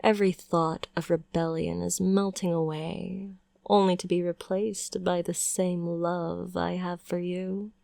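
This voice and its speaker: A sweetly possessive witch, played by a woman, coaxes and condescends with pet names in an audio roleplay. Her voice sits low and carries a gravelly fry, and she talks very slowly. The sound is dry and close. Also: little pitch movement, warm tone, no echo. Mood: soothing. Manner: coaxing, condescending endearments.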